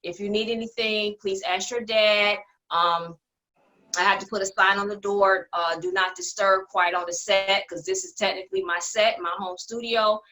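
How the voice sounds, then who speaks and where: A woman's voice: mid-range voice, fairly even intonation, normal speed, bright, forceful tone, poor audio. A woman hosting a casual panel discussion from her home studio over a video call. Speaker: host and theater producer.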